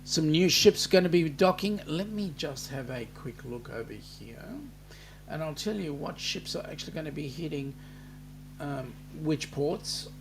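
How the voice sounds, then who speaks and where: An Australian man hosting a casual live-stream chat, reading viewer comments aloud in an unhurried way with plenty of ums. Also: fairly high pitch, animated, slight background noise.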